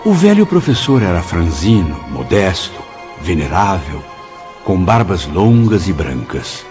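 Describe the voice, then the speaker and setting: An actor narrating a radio drama as a witness of the spirit world, solemn, reverent and literary, with a Brazilian accent. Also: male voice, deep voice, big pitch swings, unhurried. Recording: dull, muffled recording. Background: clear music.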